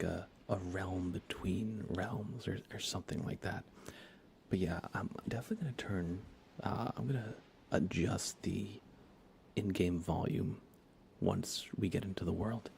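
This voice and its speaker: A man chatting casually on an ASMR game livestream in a soft, breathy voice that often slips toward a whisper, hesitant and rambling. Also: deep voice, a little hiss or hum. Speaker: livestream host. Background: music.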